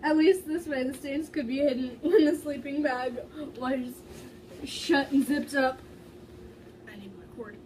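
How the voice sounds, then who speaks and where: A woman's voice: sits high, a little slow, a little mellow. A woman reading fan fiction aloud dramatically, stumbling over words and breaking into giggly casual asides. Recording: distant mic with faint background noise.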